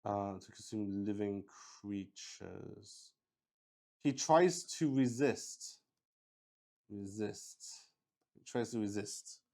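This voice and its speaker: Slow-paced man thinking aloud at game table. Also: on the low side, a little mellow. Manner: hesitant, thinking aloud, repeats words and phrases. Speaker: solo roleplay host and player. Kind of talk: improvised narration of a solo tabletop roleplaying game, thinking aloud.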